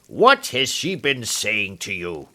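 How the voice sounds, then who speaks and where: A man's voice: mid-range voice, animated, slow. A man voicing a fierce, furious old man who blusters and snarls threats.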